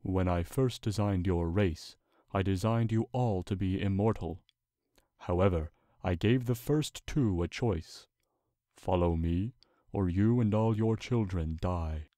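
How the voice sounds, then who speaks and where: Man voicing a solemn, slow-spoken regal stallion god. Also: sits low, dark tone, dry recording, studio quality. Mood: grave, patient. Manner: slow, deliberate, formal, reassuring. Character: solemn, gentle, regal divine stallion king, ancient and patient. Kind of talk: dramatic fan fiction reading.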